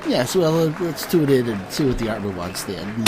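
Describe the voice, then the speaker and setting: Man playing a halting, fussy scholarly sage, swooping intonation. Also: mid-range voice, very animated, very noisy, pitched below the performer's own voice, lightly acted. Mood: hesitant, thoughtful. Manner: halting, polite, bookish, many uhs. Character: fussy, hesitant scholarly male sage and alchemist. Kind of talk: audio drama character acting. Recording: music behind the voice.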